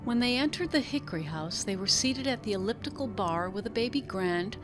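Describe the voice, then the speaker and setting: A woman's voice: mid-range voice, animated, brisk, over music. A woman reading a literary story aloud as an audiobook narrator, in scripted narration.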